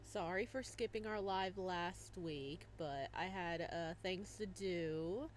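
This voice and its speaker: A woman's voice: lowish voice, slow pace, slight background noise. A young woman hosting a casual live stream, chatting and laughing as she plays a visual novel and greets her viewers by name. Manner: bubbly, giggly, greets chatters by name, hums to herself.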